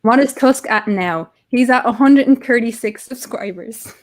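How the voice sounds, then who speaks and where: Teasing woman, fairly high voice. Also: moderate pace. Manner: teasing and blunt. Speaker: podcast co-host. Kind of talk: casual chat.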